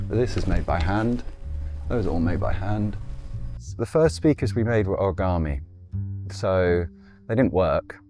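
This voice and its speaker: A man's voice: low voice, a little mellow. A man, talking casually and loosely about designing and building speakers, playful and with occasional swearing. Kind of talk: casual interview talk.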